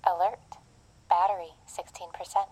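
A woman's voice: fairly low pitch, little pitch movement, normal speed, a little mellow, slightly rough, poor audio. A woman voicing an impassive implant computer in an audio drama, giving clipped, impersonal alerts.

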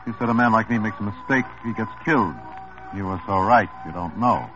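A man's voice: fairly low pitch, fairly quick, lightly acted. Brief: man as cagey mob lawyer, drawling, lo-fi old radio, music under. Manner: wary, evasive, drawling. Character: smooth, nervous male mob lawyer. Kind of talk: 1950s radio drama acting.

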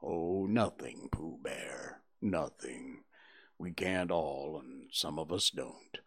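A man voicing a gloomy old gray male donkey, speaking slowly and mournfully in a low, breathy, half-whispered voice. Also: heavily acted. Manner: slow, mournful, sighing.

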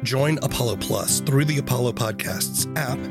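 A man's voice: mid-range voice, normal speed, loud music behind the voice. A man hosting a podcast in a scripted, presenting style as he introduces and interviews. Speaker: podcast host.

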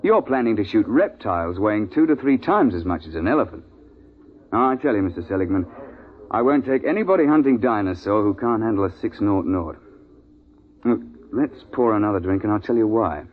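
A man playing a seasoned British safari guide in a vintage radio play, on a narrow-band recording. His voice is low, and he talks with blunt, old-boy assurance. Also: expressive, brisk, a little hiss or hum, natural voice. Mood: reminiscing. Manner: clipped old-boy idiom, blunt, conversational. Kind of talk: 1950s radio drama acting, storytelling.

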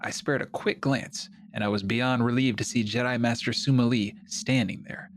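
A man narrating his part of an audio drama in the first person, brisk and matter-of-fact. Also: medium pitch, steady tone, very noisy.

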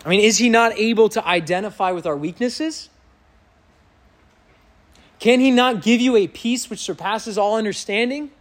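A pastor preaching an earnest, emphatic sermon to his congregation in a church sanctuary, with a loud voice that builds to impassioned peaks. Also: high voice, normal speed, pauses often.

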